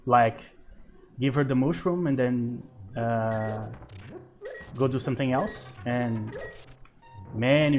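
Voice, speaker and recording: A man in his thirties with a Brazilian Portuguese accent, a Portuguese native speaker, hosting his gaming channel and thinking aloud over gameplay in relaxed let's-play commentary. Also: mid-range voice. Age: 30s.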